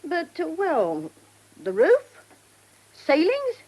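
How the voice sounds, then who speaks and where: An actress playing a talkative, inquisitive servant from the manor in a radio drama, with a working-class southern English accent. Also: female voice, very high-pitched, huge pitch swings, slow pace, a little mellow, heavily acted, low-quality recording. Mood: prying. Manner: inquisitive, gossipy, rambling.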